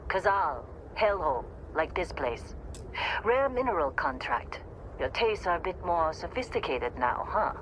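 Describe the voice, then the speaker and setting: A woman's voice: on the low side, very animated, a little slow, slight background noise, low-quality recording. A woman playing a blunt, dry, commanding female mining supervisor in a video game, weary and no-nonsense. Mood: curt, irritated.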